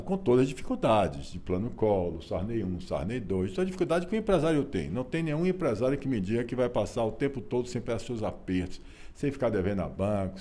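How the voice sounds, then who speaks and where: A Brazilian man, telling his family story in a relaxed interview, folksy and repetitive with frequent rhetorical tags. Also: lowish voice. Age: 60s. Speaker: interview guest, author and businessman.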